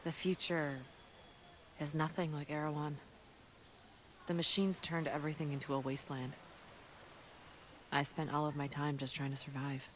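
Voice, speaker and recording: An actor playing an earnest, battle-hardened resistance fighter from a ruined future in a video game cutscene, solemn and deliberate. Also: mid-range voice, little pitch movement, a little hiss or hum, close to an everyday voice. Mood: solemn, wistful.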